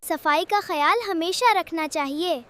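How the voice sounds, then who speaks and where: A woman's voice: extremely high pitch, music in the background. A woman voicing a cheerful, helpful young boy vegetable in a children's cartoon, with an earnest, encouraging manner. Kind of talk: children's cartoon moral story dialogue.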